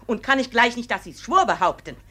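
Casting: outraged middle-aged plaintiff mother, bright and fairly high, emphatic stage-classic testimony. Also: lively intonation, fairly quick. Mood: insistent. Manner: emphatic, accusing. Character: indignant, forceful village mother, combative plaintiff. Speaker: actor as plaintiff. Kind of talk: classic German radio play, dramatic testimony.

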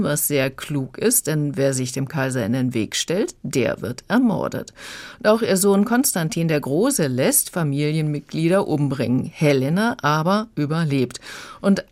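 A woman's voice: deep voice, animated, normal speed, darkish tone, no room sound. A woman giving a conversational audiobook review as a critic.

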